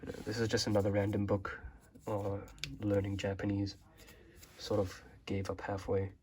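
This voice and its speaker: A young man from Hong Kong, a native Chinese speaker, casually showing his bookshelf in a relaxed, rambling way with many fillers. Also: lowish voice, moderate pace, a little hiss or hum. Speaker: vlogger.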